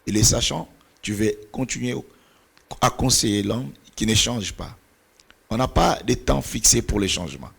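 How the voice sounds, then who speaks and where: A man's voice: mid-range voice, animated, normal speed, vocal fry, a bit bright, slightly rough. A middle-aged man whose first language is Portuguese, speaking as a pastor and mentor in an earnest, preaching cadence, sometimes searching for words. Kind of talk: church talk on mentoring.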